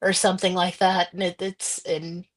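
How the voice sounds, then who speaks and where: A woman's voice: on the low side, flat delivery, moderate pace. A woman guest author answering interview questions in a casual, hesitant way. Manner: hesitant, many ums.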